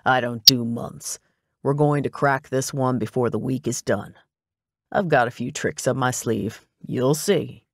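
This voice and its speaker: A woman's voice: rumbling low, unhurried, fairly bright tone, no room sound, clean studio sound, pitched below the performer's own voice, heavily acted. A woman voicing a brusque, self-assured older male detective with a clipped, commanding manner in an audiobook. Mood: confident, dismissive.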